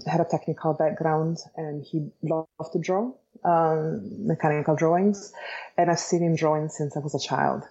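A woman in her forties with an Italian accent, giving thoughtful, measured answers as an interview guest. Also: sits low, mellow, slightly rough, muffled recording. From Italy, Bologna. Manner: thoughtful, measured, searching for words. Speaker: architect and educator, guest.

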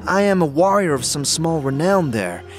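An actor playing a proud, easily offended warrior in a fantasy audio drama, speaking in haughty, formal, archaic phrases. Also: male voice, fairly high pitch, big pitch swings, moderate pace, music in the background. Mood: guarded, haughty.

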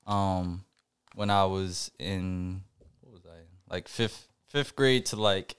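A young man co-hosting a podcast, talking in a reflective, halting way with many fillers and restarts. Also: sits low, clear, resonant tone. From Puerto Rican family background. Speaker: podcast co-host. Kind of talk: casual podcast chat.

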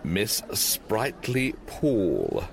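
A man playing a stately butler who announces in a pompous, drawn-out way in a 1940s-style radio drama. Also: lowish voice, huge pitch swings, vocal fry, a crowd in the background, background noise, exaggerated voice.

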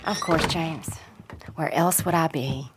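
A woman's voice: low voice, takes it slowly, a little hiss or hum. An actress playing a dutiful young mother with a Southern US accent, polite and coaxing, in an old-time radio style drama. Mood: polite, anxious.

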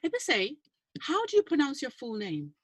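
A woman's voice: high voice, wildly swooping pitch, moderate pace, fairly bright tone, dry recording. A woman hosting a podcast, moving between scripted introduction and informal interview chat. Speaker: podcast host.

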